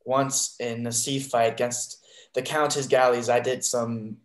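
A young man acts out a devoted, wary seafarer in a classic stage play. His mid-pitched voice is earnest and halting, in an echoey room on a video call. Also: little pitch movement, close to an everyday voice. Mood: wary, caring. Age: young adult. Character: devoted, wary male sea fighter in a stage comedy. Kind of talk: Shakespeare reading over video call.

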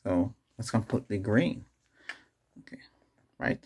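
Middle-aged man, soft ASMR exam roleplay, gravelly and breathy, unhurried, mid pitch with lively movement. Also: slight background noise. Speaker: ASMR performer playing an ER doctor examining a patient. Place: small indoor setup with cloth backdrop.

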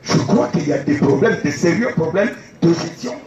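A man's voice: a little high, expressive, normal speed, a little mellow, muffled recording, soft background music. Argumentative man debating, distant. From Democratic Republic of the Congo. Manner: argumentative, rhetorical questions, repeats key points. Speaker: candidate for party president. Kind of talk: debate answers. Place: outdoor tent stage. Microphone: phone recording from the audience. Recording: echoey, distant phone recording.

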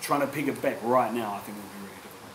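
A New Zealand man in his forties speaking on a public panel, earnest and halting, with frequent restarts. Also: lowish voice. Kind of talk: panel discussion. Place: university lecture room. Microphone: table microphone, distant. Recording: echoey room with background hum.

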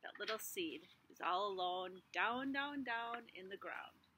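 A middle-aged woman teacher reading a picture book aloud to young children outdoors, expressive, with small asides to the class. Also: mid-range voice, measured pace, husky. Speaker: kindergarten teacher. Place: outdoors in a backyard under trees. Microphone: device camera at arm's length.

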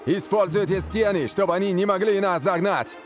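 A man playing a confident young soccer player who rallies his team in an animated cartoon. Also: sits high, moderate pace, over music, close to an everyday voice. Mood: upbeat.

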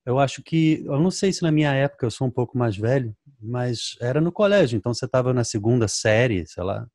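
A man in his thirties with a light Rio de Janeiro accent, hosting a podcast interview in a relaxed, curious way. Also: medium pitch, brisk, dry recording, clean studio sound. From Rio de Janeiro, Brazil. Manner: relaxed, curious, laughing along. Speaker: podcast host. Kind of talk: casual interview. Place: home studio with shelves. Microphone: studio mic on boom, headphones.

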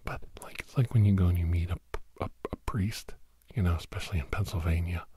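A middle-aged man in a whispered ASMR podcast monologue, breathy and secretive, rambling haltingly and repeating words, close to the mic. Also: steady tone, warm tone, a little hiss or hum. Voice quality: breathy whisper breaking into low murmur. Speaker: podcast host.

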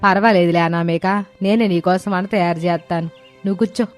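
A woman's voice: on the low side, fairly even intonation, brisk, faint music behind, natural voice. A woman voicing a caring, devout elderly mother-in-law in a storybook tale, with assured, folksy village wording. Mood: kindly. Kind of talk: dramatised storybook dialogue.

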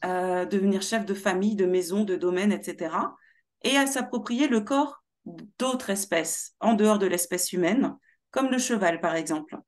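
A young woman from Paris with Martinican roots, speaking as a guest in an animated interview over a video call. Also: medium pitch, normal speed. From Martinique heritage, born in Paris. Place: small room at home.

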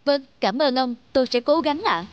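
A woman's voice: high-pitched, expressive, normal speed, dull audio. A woman voicing an eager, polite young male labourer in a cartoon, speaking earnestly. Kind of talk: cartoon dub acting.

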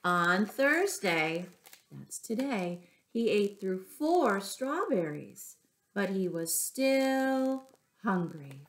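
A middle-aged woman reading a picture book aloud to young children, with deliberate, expressive phrasing and little asides to her listeners. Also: mid-range voice. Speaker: children's storyteller, teacher. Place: small furnished room at home.

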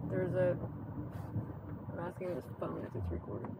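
Женщина болтает за рулём, громкий шум мотора. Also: female voice, lowish voice, sing-song, moderate pace, gravelly. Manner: chatty, insistent, talks in restarts. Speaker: driver. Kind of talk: casual chat while driving. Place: inside a car at night on a rough road. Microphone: 360 camera inside the car. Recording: tinny lo-fi sound, loud engine noise.

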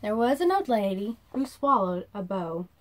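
A woman in her thirties reading a rhyming storybook aloud to children in an expressive, sing-song way, recorded in a room at about arm's length from the device. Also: medium pitch, normal speed, a little hiss or hum, rough recording. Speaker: children's read-aloud host. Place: small indoor room.

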